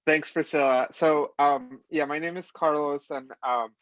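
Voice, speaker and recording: A man's voice: a little high, fairly even intonation, unhurried, a bit bright, dry recording. A man from Colombia answering as a trainee panelist in casual, rambling talk full of fillers, over a video call. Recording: dry, dull lo-fi call audio.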